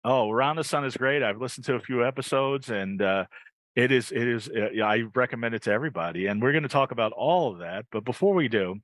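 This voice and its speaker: A man's voice: mid-range voice, fast talker, fairly bright tone, no room sound. A man hosting a casual podcast on a video call, rambling a little and repeating his phrases as he chats and reads plugs. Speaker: podcast host.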